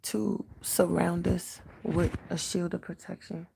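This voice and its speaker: A woman with a raspy, cracking voice, working as a spiritual medium and leading an earnest prayer on a livestream. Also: fairly low pitch, little pitch movement, takes it slowly, mellow, slight background noise, low-quality recording. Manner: earnest, prayerful, repeats invocations.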